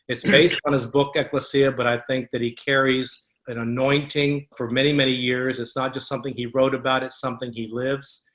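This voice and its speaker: A man's voice: medium pitch, fairly bright tone, poor audio. A man hosting a ministry call, introducing speakers in an earnest, rambling way. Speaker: host.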